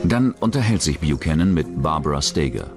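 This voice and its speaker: A man narrates a true-crime documentary in a very low voice. His intonation moves widely up and down, and music plays clearly underneath him. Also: very animated, normal speed. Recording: dramatic music bed under the voice. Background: background music.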